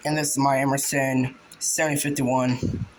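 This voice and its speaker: A man giving a casual, halting show-and-tell, informal and often trailing off. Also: mid-range voice, little pitch movement. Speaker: hobbyist video creator. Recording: noisy home video with clicks.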